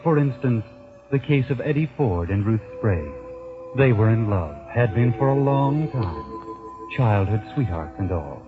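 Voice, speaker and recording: Sinister male storyteller for vintage radio crime drama: knowing, wry, muffled recording, music behind. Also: lowish voice. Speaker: host and narrator of a crime anthology. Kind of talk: 1940s radio drama narration.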